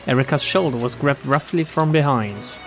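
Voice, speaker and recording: A man streaming a visual novel, reading it aloud with expressive, casual commentary. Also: medium pitch, moderate pace, music in the background. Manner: expressive reactions, casual swearing. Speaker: game streamer.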